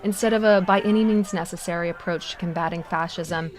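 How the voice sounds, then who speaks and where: A woman's voice: fairly low pitch, steady tone, fairly quick. An American woman reporter delivering scripted news narration.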